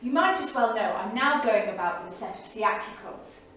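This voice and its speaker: An actress playing a restless, flirtatious 1930s bohemian wife in a theatrical staged reading, gushing and affected, with a brittle edge. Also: female voice, on the high side, animated, moderate pace, a bit bright. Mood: brittle, weary. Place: small theatre studio, indoors. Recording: echoey theatre studio from far off, faint noise.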